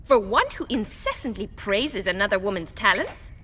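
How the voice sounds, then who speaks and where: An actress playing a prim, catty gentlewoman in a fantasy game, speaking in an arch, formal, haughty manner. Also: mid-range voice, expressive, moderate pace, husky. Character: prim, catty gentlewoman trading barbs with her sister. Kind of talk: fantasy video game dialogue.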